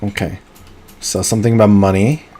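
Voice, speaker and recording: Low-voiced man streaming a game. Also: a little fast. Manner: casual, muttering to himself between excited outbursts. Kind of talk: live gameplay commentary.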